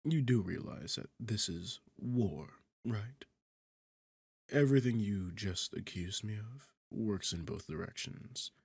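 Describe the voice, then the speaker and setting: A sardonic demon king, acted by a man, low and gravelly, speaks close and dry in an intimate fantasy audio roleplay. He takes his time, teasing and condescending, with a bored, seductive arrogance. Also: lively intonation, slow, darkish tone, no room sound, exaggerated voice. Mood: sardonic, firm.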